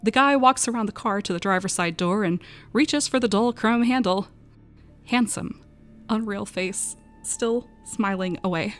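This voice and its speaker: Woman, dramatic first-person storytelling, expressive, breathy when tense, frightened young narrator, eerie audio drama. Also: medium pitch, a little slow. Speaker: voice actor narrating a short story.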